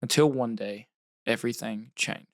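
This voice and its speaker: A man narrates a podcast in a measured, scripted storytelling delivery. His voice sits at an ordinary middle pitch. The recording is dry and close, with no room sound. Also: no room sound.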